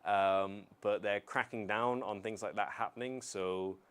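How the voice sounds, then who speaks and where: A young man from the United Kingdom with a lowish, smooth, resonant voice talks loosely to his stream chat. He rambles easily, filling gaps with ums and restarting sentences as he thinks. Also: steady tone, a little slow, fairly bright tone. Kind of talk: casual live-stream chat.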